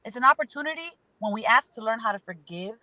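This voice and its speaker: A woman with a bright, slightly high voice offers spiritual guidance as an astrology guest. She speaks emphatically and repeats phrases in rhythmic lists, heard close and dry. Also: animated, fast talker, no room sound, poor audio. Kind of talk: spiritual advice in conversation.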